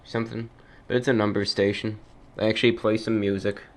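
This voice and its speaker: A man hosting a radio show, explaining things in a casual, halting, conversational way. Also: on the low side, little pitch movement. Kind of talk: casual informal radio commentary.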